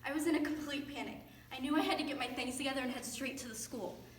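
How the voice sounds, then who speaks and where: Young actress as earnest small-town storyteller, lightly high voice, dramatic monologue in a reverberant hall. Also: female voice, quick pace, close to an everyday voice. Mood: reflective. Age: young adult. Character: earnest small-town woman narrating her family tragedy. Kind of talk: dramatic monologue in readers theater. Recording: echoey noisy stage, distant mic.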